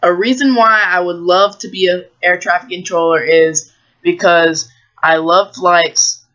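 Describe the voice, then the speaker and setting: An American teenage high school student giving an explanatory school presentation. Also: sharp tone, clean tone, muffled recording.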